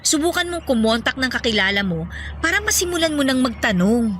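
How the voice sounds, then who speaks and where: Bright-voiced, concerned Filipina girlfriend. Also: sits high, sing-song, a little fast, slight background noise. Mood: concerned, coaxing. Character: concerned, outspoken young girlfriend, practical and caring. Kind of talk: radio drama dialogue.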